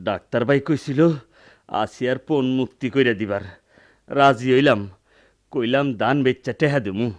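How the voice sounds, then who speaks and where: An actor playing a wounded young peasant in a Bengali radio drama, dazed, pained yet defiant, with a rural Bangladeshi dialect. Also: male voice, medium pitch, normal speed, natural voice. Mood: aggrieved. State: dazed, in pain.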